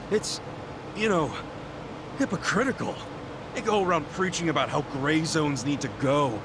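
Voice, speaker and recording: A man's voice: fairly high pitch, lightly acted. An actor playing a hot-blooded, earnest man in a video game, speaking bluntly and passionately in slangy English over background noise. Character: hot-blooded earnest man rallying reluctant underworld leaders.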